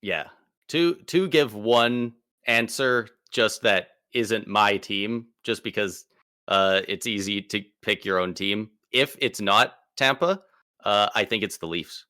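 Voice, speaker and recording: A man co-hosting a casual sports podcast, excitable and slangy, speaking with emphatic bursts. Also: fairly low pitch, takes it slowly, clear, resonant tone, no room sound, clean studio sound. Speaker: podcast co-host.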